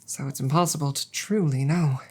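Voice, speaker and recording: Woman playing a low, husky, formal dragon girlfriend. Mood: musing. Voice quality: husky, breathy. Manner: formal wording, hesitant, halting, soft sighs. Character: proud female dragon girlfriend, formal, reserved, bashful, fond. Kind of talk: intimate audio roleplay, ASMR.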